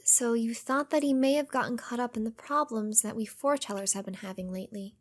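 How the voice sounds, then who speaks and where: A woman playing a gentle but firm, mysterious young female master and fighter in a video game fan dub. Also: fairly high pitch, brisk, natural voice. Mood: gentle, composed. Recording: music under the voice.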